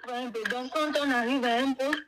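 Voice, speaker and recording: A woman from Gabon with a Gabonese French accent, explaining herself haltingly on a live call. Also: high-pitched, fairly even intonation. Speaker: call-in guest telling her own situation. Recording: noisy live call with clicks.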